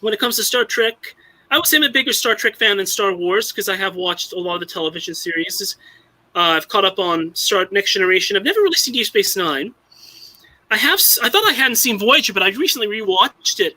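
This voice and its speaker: A man's voice: sits high, fairly quick, bright, forceful tone, rough recording. A young man from Southern California chatting casually on a live stream, rambling with many restarts and fillers. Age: young adult. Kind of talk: casual chat.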